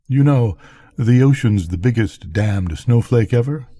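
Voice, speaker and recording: A man's voice: mid-range voice, lively intonation, a little slow, mellow, dry recording. A man voicing a musing, folksy, lonely lighthouse keeper in a bedtime storybook reading. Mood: musing wonder. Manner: thoughtful, folksy.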